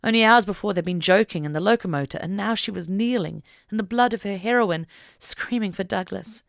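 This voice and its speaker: A woman's voice: medium pitch, fairly quick, gravelly, dry recording, studio quality. A woman narrating an audiobook story in a dramatic, scripted reading style.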